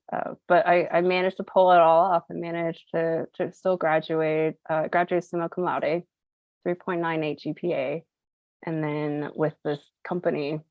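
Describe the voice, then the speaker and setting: A chatty woman in her thirties from Colorado, with Cantonese as her first language, telling her story with plenty of "like" and "um". Her voice sits a little low for a woman. The sound is dry and slightly dull, like a modest home setup. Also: dry recording. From United States (Colorado). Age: 30s. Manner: chatty, uses many fillers and laughs easily. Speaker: interview guest, entrepreneur. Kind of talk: casual chat, storytelling.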